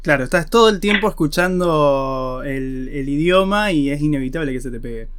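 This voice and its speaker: A man's voice: a little high, expressive, normal speed, a bit bright, slight background noise. A man with an Argentine accent hosting an interview, asking long winding questions. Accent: Argentine Spanish. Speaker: interviewer host. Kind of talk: casual interview.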